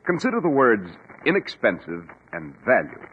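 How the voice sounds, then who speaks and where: A man's voice: medium pitch, wildly swooping pitch, normal speed, breathy edge, muffled recording. An American man announcing and hosting in polished 1940s radio style, on a vintage radio recording.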